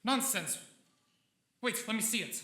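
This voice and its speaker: An actor playing an obsessed, excitable and defensive scientist in a theatrical, agitated live radio drama. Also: mid-range voice, unhurried. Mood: feverish excitement. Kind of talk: live 1940s-style radio drama acting. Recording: roomy live stage sound, faint music behind.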